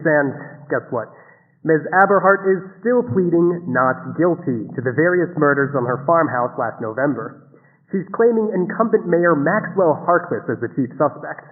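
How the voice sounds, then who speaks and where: Through a tinny, echoing radio filter comes a chirpy male host with a highish voice. He delivers chatty, hammy small-town DJ patter in a radio drama. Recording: tinny reverberant car radio sound.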